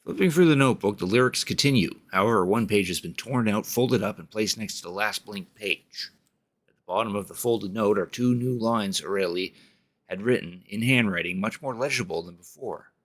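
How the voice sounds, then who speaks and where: A man streaming a game, reading its story text aloud in a measured way and commenting on his play. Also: on the low side, a bit bright.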